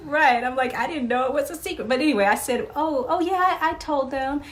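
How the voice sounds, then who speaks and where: A woman's voice: high-pitched, fairly quick. A middle-aged woman chatting casually as an interview guest, telling anecdotes and quoting remembered dialogue.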